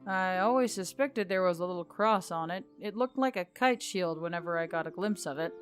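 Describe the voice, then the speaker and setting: A woman voicing a wry, weary male boss of a magical tech office in an audiobook story, speaking in a measured, murmuring way. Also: fairly low pitch, soft background music, lightly acted. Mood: pondering. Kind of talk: audiobook character dialogue.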